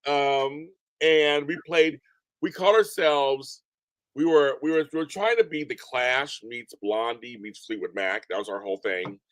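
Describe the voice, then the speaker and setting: A deep-voiced man chatting as a podcast guest, animated and rambling, often repeating himself. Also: a little slow, no room sound, low-quality recording. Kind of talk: casual interview chat. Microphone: remote call from home.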